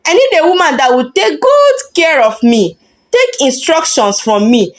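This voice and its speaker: A woman's voice: high-pitched, a bit bright. A woman voicing an arrogant, chauvinistic boyfriend in a story, pompous and dismissive. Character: arrogant, chauvinistic boyfriend demanding a submissive wife.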